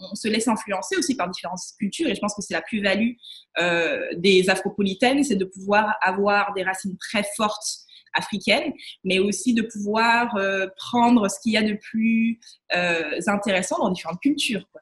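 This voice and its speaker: Ivorian woman, podcast host, casual questioning tone, average pitch, frequent restarts. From Côte d'Ivoire. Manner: conversational, hesitant restarts. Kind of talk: casual interview chat.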